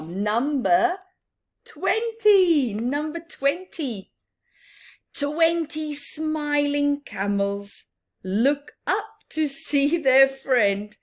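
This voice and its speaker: A woman reading a picture book aloud to children in an expressive, teacherly way, adding simple explanations and questions for the listener. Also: fairly high pitch. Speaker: children's story time reader.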